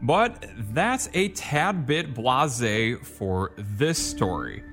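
A male narrator delivering scripted story narration in a dramatic, drawn-out way. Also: mid-range voice, sing-song, a bit bright, soft background music.

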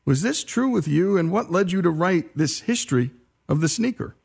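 A man hosting a storytelling radio show, speaking in emphatic, deliberate phrases. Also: high voice, expressive, gravelly, darkish tone, breathy edge.

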